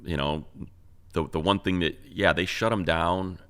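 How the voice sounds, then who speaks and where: A man with a deep, low voice talks sports as a show co-host. His delivery is casual and conversational, working through analysis and repeating words to stress a point. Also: steady tone, measured pace, fairly bright tone, clear, resonant tone.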